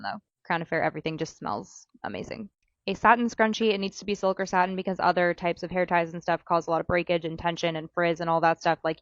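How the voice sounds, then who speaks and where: A woman speaks in a slightly low voice, rapidly and informally, like a content creator sharing a checklist. She leans on words for emphasis and keeps it conversational. The sound is dry and a little dull, with no room around it. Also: no room sound. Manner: chatty, informal, emphatic repetition. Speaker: hair care content creator. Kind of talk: casual advice to camera, listing recommendations.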